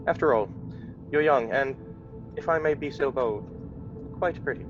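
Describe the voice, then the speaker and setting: An actor playing a courtly, forward gentleman suitor with formal, genteel speech in radio melodrama style. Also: mid-range voice, unhurried, muffled recording, over music, lightly acted. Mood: courtly, hesitant. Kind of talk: old-time radio melodrama acting.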